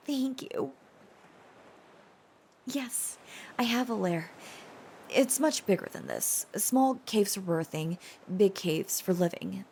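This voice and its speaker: A woman playing a shy, tender young dragon-blooded girlfriend in an intimate audio roleplay, speaking hesitantly with stammers and soft pauses. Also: medium pitch, expressive, vocal fry, slight background noise, exaggerated voice. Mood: relieved, tender, then shy, playful.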